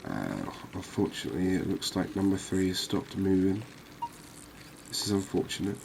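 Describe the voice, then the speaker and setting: A man giving calm, unhurried, soft-spoken ASMR gameplay commentary, musing aloud as he plays. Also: deep voice, vocal fry, noisy background. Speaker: gaming video host.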